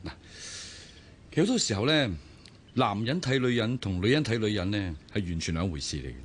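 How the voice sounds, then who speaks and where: An actor playing a worldly middle-aged brother-in-law with a Hong Kong Cantonese accent, calm and fatherly as he gives advice. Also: lowish voice, fairly quick, a little hiss or hum, lightly acted. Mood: advising. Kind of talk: 1990s radio drama acting.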